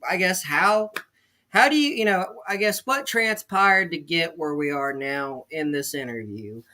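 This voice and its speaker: A man's voice: on the high side, a little slow, pushed and bright. A man hosting a casual online interview, upbeat and chatty with plenty of fillers. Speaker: interview host.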